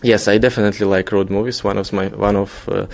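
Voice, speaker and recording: A man with a Ukrainian accent answers interview questions conversationally. His voice is fairly low with heavy vocal fry. As a non-native English speaker, he moves haltingly and searches for his words. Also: little pitch movement, darkish tone. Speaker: filmmaker being interviewed.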